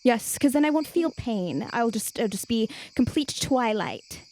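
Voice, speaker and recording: Prim, high-voiced anxious adventurer woman in character. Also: expressive, a little slow, a little mellow. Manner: hesitant, prim, earnest.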